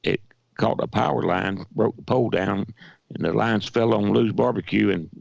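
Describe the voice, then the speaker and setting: Southern radio co-host, man, hoarse and very gravelly, lowish pitch, dry studio sound, folksy and halting. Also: a little mellow, no echo, lo-fi sound. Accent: Southern American. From Georgia. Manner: folksy, halting with many restarts. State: hoarse, weak voice. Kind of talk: casual radio chat.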